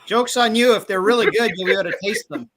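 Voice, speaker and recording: Give me a teasing man with a high voice, joking around on a panel. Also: fast, background noise. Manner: joking, teasing, crude humor. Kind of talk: casual chat.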